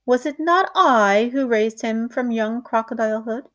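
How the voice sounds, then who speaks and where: Female reader as a male stage star, pompous, theatrical, insistent, measured pace, storybook character. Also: a little high, fairly bright tone.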